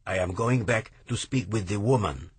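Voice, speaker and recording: An indignant Italian man, snarling and outraged, as a male narrator acts him out. His voice jumps high and loud, rough and husky at the edges. The sound is dry and close to the mic. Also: moderate pace, no room sound.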